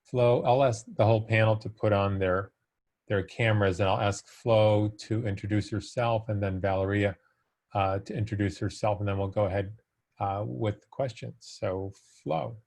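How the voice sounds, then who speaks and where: A man moderating an online panel, introducing speakers informally in a somewhat halting, hesitant way. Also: lowish voice, steady tone, creaky, a little mellow. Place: remote video call.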